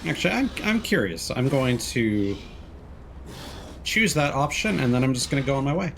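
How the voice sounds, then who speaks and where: A man's voice: mid-range voice, big pitch swings, moderate pace, gravelly, a bit bright, slight background noise. A man giving casual live-stream game commentary, musing and thinking aloud as he plays.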